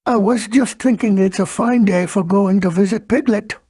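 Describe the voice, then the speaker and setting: Storybook toy bear , played by a man: mild, simple, cheerful, pitched high. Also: moderate pace, darkish tone, breathy edge, dry recording, studio quality. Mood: mild, polite. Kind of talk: children's storybook character voice.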